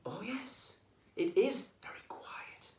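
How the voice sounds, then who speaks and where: A storybook reader voicing a trio of noisy, eager foxes in a high voice that keeps dropping to a whisper. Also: sing-song, normal speed, a bit bright. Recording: roomy echo, voice far from mic.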